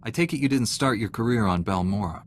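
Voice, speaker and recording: Male actor as straight-laced knight hero, low male voice, earnest, measured, dry, sci-fi game dialogue, slight background noise. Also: expressive, close to an everyday voice. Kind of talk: video game voice acting, character dialogue.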